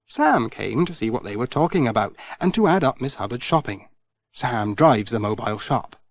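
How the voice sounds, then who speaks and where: Male audiobook narrator for children's storybook, highly varied intonation, expressive storytelling, dry recording. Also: moderate pace, dry recording.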